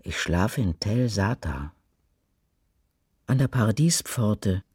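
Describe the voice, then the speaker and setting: Husky, slow doom prophet. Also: male voice, fairly low pitch, lively intonation, a little mellow, no room sound, clean studio sound, heavily acted. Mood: grave. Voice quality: husky, breathy. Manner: slow, declamatory, weighty. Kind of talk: dramatic radio poetry recitation.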